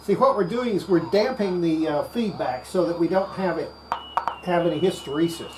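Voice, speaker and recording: An electronics restorer giving an unscripted hands-on repair demonstration, thinking aloud in a halting way. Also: mid-range voice. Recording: clear background noise.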